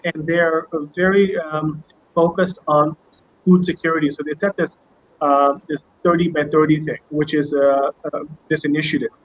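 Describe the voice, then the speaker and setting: A man answering interview questions as a company guest, speaking conversationally and emphatically, often repeating words. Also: on the high side. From United States. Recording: muffled online call with background noise.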